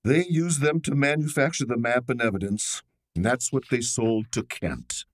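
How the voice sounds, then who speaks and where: An actor playing a terse, matter-of-fact client in an old-time radio drama, measured in delivery. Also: male voice, mid-range voice, dry recording, clean studio sound, lightly acted.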